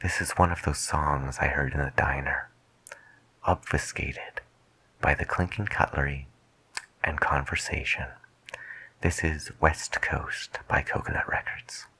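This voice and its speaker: A man playing a musing late-night radio DJ, soft-spoken and deliberate in an ASMR style. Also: very deep voice, fairly even intonation, gravelly, husky, full character voice, low-quality recording. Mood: musing, hushed. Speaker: radio host.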